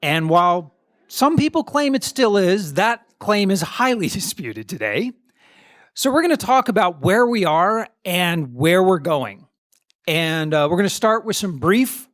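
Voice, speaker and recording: A man's voice: high voice, a bit bright, no echo, clean studio sound. A man in his fifties who hosts a podcast and moderates a panel on stage at a conference, upbeat and talkative, now and then repeating words as he starts.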